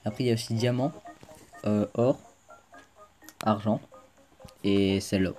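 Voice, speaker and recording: A man's voice: on the low side, fairly even intonation, measured pace, darkish tone. A man hosting a casual let's play video, chatting to viewers as he plays, with a stuffed-up cold. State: congested with a cold. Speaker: gaming video host.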